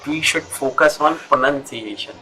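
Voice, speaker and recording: A man's voice: fairly high pitch, moderate pace, over a noisy crowd, low-quality recording. A middle-aged man with a North Indian English accent, teaching conversationally and stressing his points by repeating phrases.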